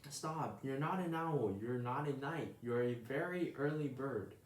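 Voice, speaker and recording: A man's voice: mid-range voice, a little slow, a little hiss or hum, natural voice. Man doing a weary grumpy owl knight. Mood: exasperated. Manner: weary and exasperated. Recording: roomy echo.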